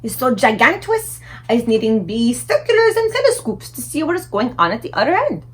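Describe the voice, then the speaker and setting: A woman voicing an excitable, childlike storybook giant who exclaims in muddled grammar and invented words. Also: fairly high pitch, fairly quick, breathy edge, heavily acted. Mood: amazed, eager.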